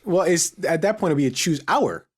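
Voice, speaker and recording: A man's voice: fairly high pitch, lively intonation, brisk, husky. A man hosting a casual podcast chat in a playful, teasing manner. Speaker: podcast host.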